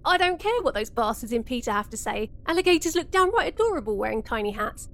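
A woman's voice: on the high side, big pitch swings, quick pace. A woman playing a sarcastic, easygoing, foul-mouthed spaceship show host, rambling and hesitant in an audio drama comedy. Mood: sheepish, defiant.

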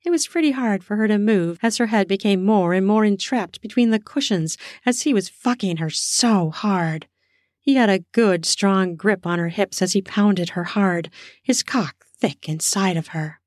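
A woman narrating an erotic story in an unhurried, intimate reading. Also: medium pitch, darkish tone, dry recording, studio quality.

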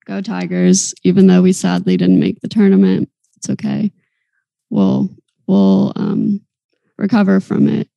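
Chatty young woman with gravelly voice. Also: medium pitch, a little slow, no room sound, lo-fi sound. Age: 20s. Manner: chatty, giggly, animated storytelling. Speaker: podcast co-host. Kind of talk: casual chat.

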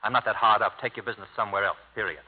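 An actor playing a cocky, hard-boiled American lawyer with clipped, wisecracking delivery in a radio drama. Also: male voice, fairly low pitch, very fast, close to an everyday voice. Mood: scornful, insolent. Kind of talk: 1950s radio drama acting. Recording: tinny old radio broadcast, hall reverb.

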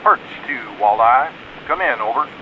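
A man's voice: medium pitch, expressive, moderate pace, phone-quality sound, slight background noise. A man acting in an audio drama as a laid-back, literal-minded lookout heard through a walkie-talkie filter. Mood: matter-of-fact. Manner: terse radio jargon with rambling asides. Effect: walkie-talkie radio filter. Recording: band-limited walkie-talkie sound.

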